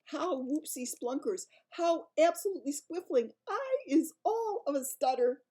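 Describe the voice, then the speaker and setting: A woman voicing a gentle, very old male giant who mangles his grammar and exclaims loudly. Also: high voice, animated, measured pace, voice pushed up. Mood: delighted.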